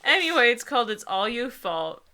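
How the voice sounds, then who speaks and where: A woman's voice: on the high side, very animated, moderate pace, sharp tone. A woman co-hosting a comedy book reading, reading aloud mock-dramatically and breaking into casual, giggly asides.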